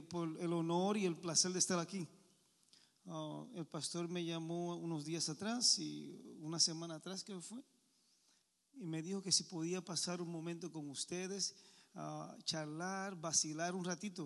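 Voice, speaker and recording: A man's voice: sits high, fairly bright tone, big-room sound. A Puerto Rican man preaching informally to a men's meeting, chatty and joking, often asking the crowd questions. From Puerto Rico.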